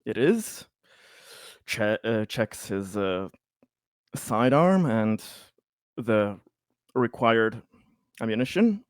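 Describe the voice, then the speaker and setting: A man's voice: on the low side, very animated, extremely slow, long pauses, no room sound, studio quality. A man playing a tabletop RPG, narrating his character's actions casually in a hesitant, stammering, stop-and-start way. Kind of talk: casual improvised roleplay narration.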